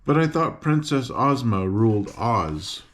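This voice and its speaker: A man's voice: medium pitch, big pitch swings, measured pace, a little hiss or hum. An older man voicing a kindly, earnest traveling man in a children's story.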